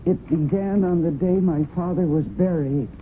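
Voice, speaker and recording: An actress as a grave young woman, low-pitched, muffled. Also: moderate pace, close to an everyday voice. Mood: sombre. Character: grave, composed young woman, bereaved horse farm heiress. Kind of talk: old radio drama acting. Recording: muffled, noisy old radio recording.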